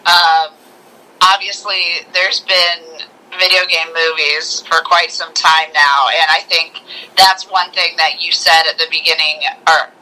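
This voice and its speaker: A woman co-hosting a podcast, chatting informally about movies with halting starts and bits of mimicked dialogue. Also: low-pitched, fairly even intonation, sharp tone, poor audio. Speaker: podcast co-host. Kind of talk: casual movie review chat.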